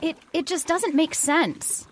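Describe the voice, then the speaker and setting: A woman's voice: extremely high pitch, takes it slowly, slight background noise. A woman playing an anxious, insistent young woman in an old-time radio horror drama, pleading and questioning dramatically. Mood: confused, indignant.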